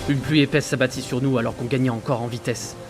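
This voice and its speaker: A man playing a frightened sailor who narrates his journal gravely, in dramatic audio fiction. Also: medium pitch, fairly even intonation, quick pace, lightly acted. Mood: tense. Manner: grave, haunted storytelling. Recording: loud music under the voice.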